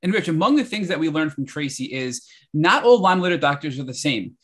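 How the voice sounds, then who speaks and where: Fast husky man reading a recap. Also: a little high, very animated, fairly bright tone. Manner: brisk read recap. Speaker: podcast co-host. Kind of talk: scripted episode recap.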